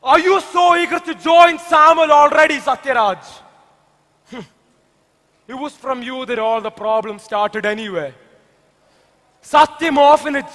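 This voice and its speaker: A man plays a gloating criminal mastermind with an Indian accent. He is slow and pompous, savouring his taunts in a piercingly high voice. The stage-drama delivery echoes around a large hall. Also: bright, forceful tone, full character voice. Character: gloating male criminal mastermind, arrogant puppeteer villain. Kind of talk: amateur stage drama dialogue. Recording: echoey hall, long reverb.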